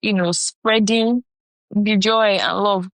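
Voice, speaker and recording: A young Nigerian woman with a Nigerian accent, co-hosting a podcast, talking haltingly and hedging as she searches for words. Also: mid-range voice, steady tone, no echo. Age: young adult. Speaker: podcast co-host. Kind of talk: casual chat.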